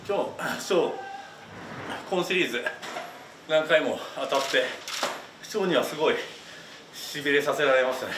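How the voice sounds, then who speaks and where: A man's voice: a little high, expressive, a little slow, long pauses. A man in his thirties, a pro wrestler, earnestly speaking in post-match comments. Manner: determined, earnest. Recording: echoey room, noisy, far from mic.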